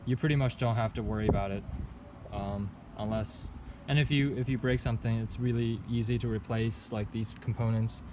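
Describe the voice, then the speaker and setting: Male vlogger, lowish pitch, hesitant off-the-cuff explainer, recorded outside amid obvious traffic noise. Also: fairly even intonation, a little fast. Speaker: vlogger reviewing own bike setup. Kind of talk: casual unscripted product walkthrough. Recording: noisy outdoor recording with traffic rumble.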